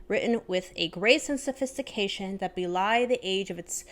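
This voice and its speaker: A woman's voice: medium pitch, normal speed. A woman talking informally in a book recommendation vlog, thinking aloud and sometimes reading out passages. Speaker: book review vlogger. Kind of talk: casual chatty book recommendations with read-aloud blurbs.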